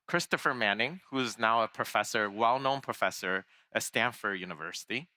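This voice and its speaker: A man's voice: lowish voice, animated, a little fast, bright, forceful tone. A man from Taiwan giving a conference keynote in a conversational way, with fillers and restarts. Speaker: keynote speaker. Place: large conference hall.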